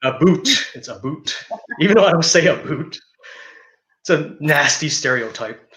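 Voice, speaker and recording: A man's voice: on the high side, expressive, unhurried, sharp tone. A man with a Canadian accent casually hosting a livestream interview, recorded with roomy, dull lo-fi audio. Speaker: interview host, wrestling announcer.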